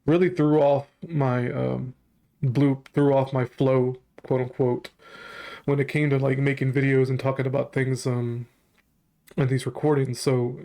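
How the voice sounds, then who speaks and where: A man talks to camera in a loose, rambling way, stopping for ums and restarting his sentences, with a bit of leftover congestion and a slight cough. His pitch stays flat, and his tone is soft and mellow rather than bright. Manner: rambling, halting delivery with frequent ums and restarts, casual swearing. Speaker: commentary channel host. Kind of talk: casual reaction commentary to camera.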